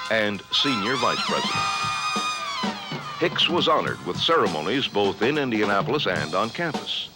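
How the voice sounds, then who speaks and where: Lively low-voiced male narrator over music. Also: low-quality recording. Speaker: newsreel narrator. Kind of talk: scripted newsreel narration. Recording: music bed under the voice. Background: loud background music.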